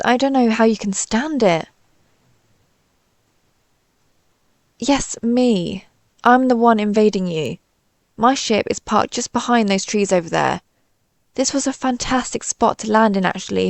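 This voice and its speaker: A woman's voice: medium pitch, gravelly, no echo. A woman playing a pompous, easily flustered female alien invader in a scripted audio roleplay, with haughty, theatrical, formal phrasing. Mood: exasperated, boastful.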